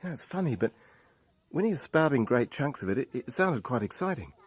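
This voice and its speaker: A man's voice: medium pitch, big pitch swings, brisk, breathy edge, no room sound. An actor playing an earnest, easygoing man who muses colloquially in a radio drama. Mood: admiring, musing. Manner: colloquial, musing.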